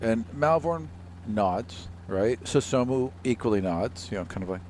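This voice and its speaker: A man's voice: on the low side, lively intonation, measured pace, darkish tone, a little hiss or hum. A man talking casually and improvising during a tabletop roleplaying session.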